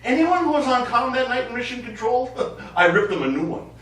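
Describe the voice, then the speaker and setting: A man on stage playing a quiet, guarded astronaut who explains himself earnestly and a little defensively to his crew. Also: very high voice, big pitch swings, brisk, heavily acted. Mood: earnest, explanatory. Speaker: stage actor in a short play. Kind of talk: live stage play dialogue. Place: small theater studio, recorded from audience distance. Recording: echoey hall, distant mic, faint noise.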